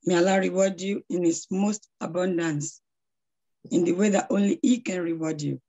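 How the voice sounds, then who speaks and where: A middle-aged woman with a hoarse voice, introducing a speaker on a video call with effusive praise and frequent prayers. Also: fairly low pitch, steady tone, moderate pace, warm tone, muffled recording. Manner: devout, effusive, praying often. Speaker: charity founder introducing a speaker. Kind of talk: online lecture introduction. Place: small room at home. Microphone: laptop webcam on a video call.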